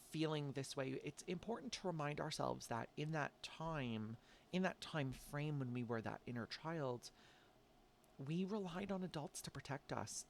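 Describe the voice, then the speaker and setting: A man's voice: on the high side, vocal fry, a little hiss or hum. A man with an American accent giving a casual tarot reading, sounding congested from allergies and chatty, with frequent "um" and "like". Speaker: tarot reader.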